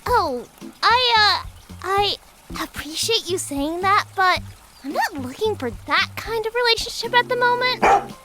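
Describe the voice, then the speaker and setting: Very high, animated little cartoon girl. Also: heavy background noise, exaggerated voice. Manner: perky, earnest, expressive. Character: cheerful, naive ten-year-old girl newcomer. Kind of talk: animated parody dub acting.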